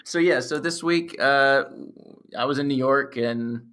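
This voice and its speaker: A man hosting a casual podcast, his voice a little croaky, talking in a relaxed way with lots of fillers. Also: medium pitch, a little slow. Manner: relaxed, filler-heavy, laughs easily. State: slightly croaky voice. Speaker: podcast host.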